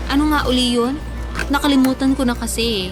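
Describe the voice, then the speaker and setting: Woman acting a high-pitched, touchy young woman. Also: steady tone, brisk, close to an everyday voice. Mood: casual, touchy. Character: hungry, touchy, forgetful thirty-year-old woman friend. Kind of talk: Tagalog radio drama dialogue. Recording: music bed under the voice.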